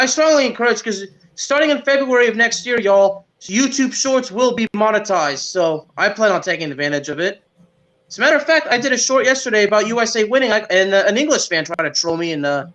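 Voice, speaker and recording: A man co-hosting a sports podcast in casual chat, haltingly repeating words as he goes. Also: high-pitched, fairly bright tone, dull audio.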